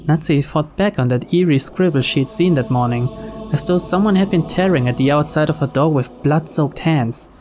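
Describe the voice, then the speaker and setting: Higher-pitched man narrating over music. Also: moderate pace. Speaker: video game reader. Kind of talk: scripted narration, reading a visual novel aloud. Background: game background music.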